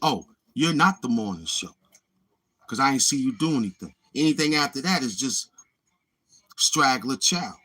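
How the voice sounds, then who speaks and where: Husky, swaggering trash-talking man. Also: mid-range voice, pushed and bright. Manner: swaggering, taunting, repeats himself. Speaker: YouTube channel host. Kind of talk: boastful trash-talking livestream monologue.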